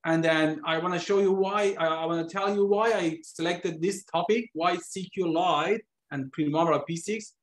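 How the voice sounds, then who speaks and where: A man from Iran giving an earnest, slightly halting presentation to an online meetup over a video call. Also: fairly high pitch. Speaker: guest speaker, trainer.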